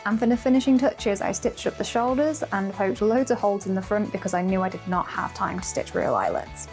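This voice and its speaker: A woman's voice: mid-range voice, animated, a little fast, over music. A woman chatting informally and self-deprecatingly as she narrates a craft vlog about her own making. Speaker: costume-making vlogger.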